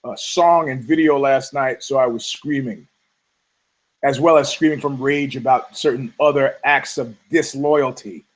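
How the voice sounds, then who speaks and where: A hoarse man hosting a podcast, rambling through a casual, profane monologue full of restarts and asides. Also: medium pitch, steady tone, measured pace, poor audio. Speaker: podcast host.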